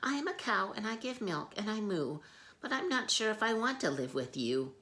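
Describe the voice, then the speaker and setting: A woman's voice: medium pitch, big pitch swings, normal speed, pushed and bright, voice pushed up. A woman voicing a sad, lonely cow in a storybook read-aloud, in a plaintive, pleading voice. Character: sad, lonely female cow seeking a home.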